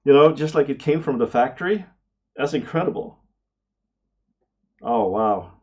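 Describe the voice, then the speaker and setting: A man giving casual unboxing commentary to camera, excitable and prone to awestruck exclamations and hesitations. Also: medium pitch, measured pace. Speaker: vlogger, radio amateur hobbyist.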